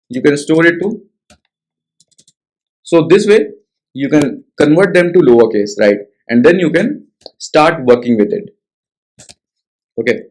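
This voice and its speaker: A man's voice: on the high side, a little mellow, poor audio. A young man with an Indian English accent walking through a coding tutorial, talking casually and checking in with frequent tag questions. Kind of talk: screen-share coding tutorial.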